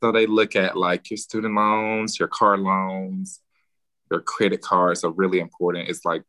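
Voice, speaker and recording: A man speaks in a very low, forceful, bright-toned voice. He explains things in a relaxed, advisory way. The sound is dry and close, with no room echo. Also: a little slow. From Birmingham, Alabama. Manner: relaxed, explanatory advice giving. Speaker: guest, real estate agent. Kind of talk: casual podcast interview.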